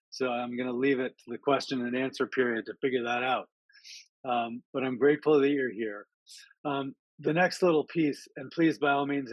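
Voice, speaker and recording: A man's voice: mid-range voice, dry recording, dull audio. A man giving a casual talk to an online audience over a video call, friendly and chatty, with frequent ums and uhs. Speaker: speaker at an online town hall.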